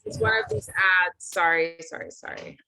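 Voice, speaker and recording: A woman's voice: on the low side, fairly even intonation, moderate pace, sharp tone, rough recording. A woman teaching an online singing workshop, chatting and explaining between video clips. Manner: chatty, explanatory, apologetic asides.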